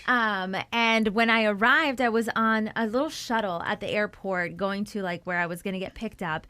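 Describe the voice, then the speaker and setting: A woman's voice: mid-range voice, moderate pace, sharp tone, smooth, no echo. A woman co-host tells a story in a friendly, chatty way on a radio show. Her voice sits mid-range and sounds close and dry in the studio. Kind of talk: casual radio chat.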